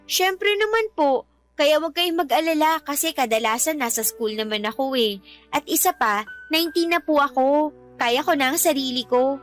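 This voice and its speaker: An actress playing a loving, dutiful nineteen-year-old daughter in a Filipino radio drama, respectful and earnest, with a Filipino accent. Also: female voice, high-pitched, normal speed, faint music behind, natural voice. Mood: glad, affectionate.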